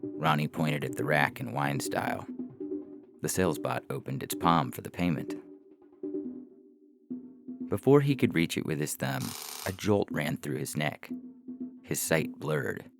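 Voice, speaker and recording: A man's voice: extremely low pitch, expressive, unhurried, long pauses. A man narrating scripted science fiction in a wry, dramatic storytelling manner. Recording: music bed behind the voice.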